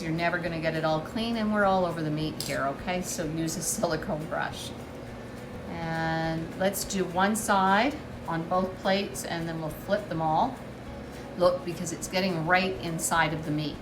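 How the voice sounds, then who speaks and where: A woman hosting a casual cooking demonstration, chatty and instructive, often checking in with a quick "okay?". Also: fairly low pitch. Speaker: cooking show host. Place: indoor kitchen. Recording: roomy, distant mic, loud sizzling noise. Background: food frying and sizzling.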